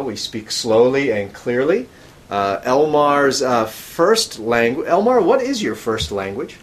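A man hosting a podcast for English learners, speaking slowly and clearly with frequent fillers. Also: mid-range voice. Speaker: podcast host and English teacher.

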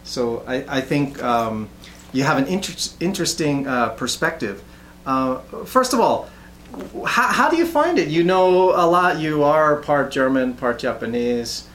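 A man's voice: a little high, animated, unhurried, a bit bright, reverberant, a little hiss or hum. A man hosting a casual interview show, talking hesitantly with many uhs and restarts. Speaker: show host.

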